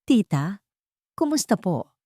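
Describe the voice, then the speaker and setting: A woman's voice: medium pitch, very animated, takes it slowly, mellow, no echo, studio quality. A woman voicing a shaken young woman who speaks politely and anxiously in a dramatic audio story. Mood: polite, nervous.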